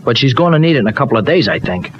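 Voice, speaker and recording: A man's voice: medium pitch, very animated, rapid-fire, close to an everyday voice. An actor playing an eager, streetwise but well-meaning young dairyman, with loose colloquial American speech in an old radio soap. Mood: earnest, uneasy. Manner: colloquial, folksy slang with loose grammar. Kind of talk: old-time radio soap opera acting. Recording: dull, noisy old radio recording.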